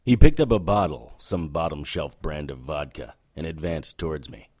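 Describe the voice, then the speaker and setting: An actor playing a gruff, wry, hard-drinking brawler who tells his own story in a hard-boiled audio drama. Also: very deep voice, lively intonation, moderate pace, darkish tone, exaggerated voice. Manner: sardonic, terse.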